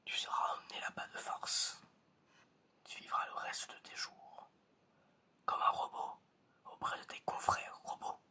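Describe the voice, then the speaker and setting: A man playing a stern, menacing examiner in an ASMR roleplay, whispering slowly and deliberately. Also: sharp tone. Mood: quietly menacing. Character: stern, menacing examiner testing a suspected robot.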